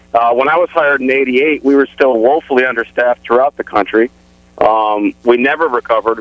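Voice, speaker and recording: A man's voice: medium pitch, dry recording. American male caller, middle-aged, earnest, on a dull, dry phone line. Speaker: phone-in caller, air traffic controller. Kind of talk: explaining and arguing a point on radio. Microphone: telephone.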